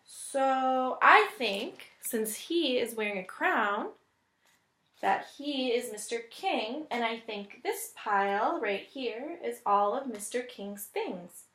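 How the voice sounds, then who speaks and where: A woman reading a picture book aloud as a teacher would, deliberate and expressive, pausing to think aloud. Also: fairly high pitch. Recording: echoey room.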